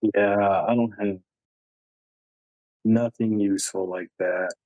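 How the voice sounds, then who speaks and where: A man's voice: lowish voice, steady tone, takes it slowly, darkish tone, muffled recording. A man chatting casually as a player in a tabletop roleplaying game. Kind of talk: casual chat.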